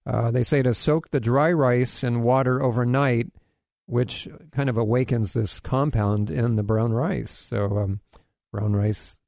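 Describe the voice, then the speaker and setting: A man's voice: mid-range voice, creaky, warm tone, no room sound, clean studio sound. Rambling man hosting a health show. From Hawaii. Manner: rambling, many fillers and restarts, corny jokes. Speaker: radio health show host. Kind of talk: casual radio health talk.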